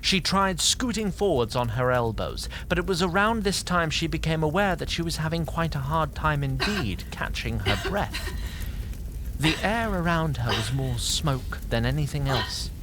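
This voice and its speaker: Narrator reading fantasy story, dramatic n lively pitch swings, noisy behind. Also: normal speed.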